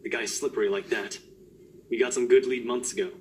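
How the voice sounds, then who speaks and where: An easygoing young detective from a voiced story game, played by a man. He talks in a wry, joking way at a middle pitch, with a faint hum behind him. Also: a little fast, close to an everyday voice. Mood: recounting. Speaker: game character.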